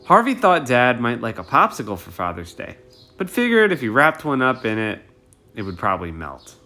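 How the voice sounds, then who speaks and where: Man reading a picture book, animated delivery. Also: medium pitch, moderate pace, faint music behind. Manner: animated, addresses young listeners. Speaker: read-aloud storyteller.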